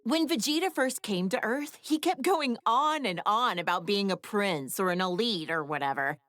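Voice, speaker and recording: A woman voicing a brash, sassy, doting wife and mother in an anime video game, teasing and expressive. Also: medium pitch, normal speed, dry recording, studio quality, natural voice. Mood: playful, chatty. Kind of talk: scripted anime game voice acting.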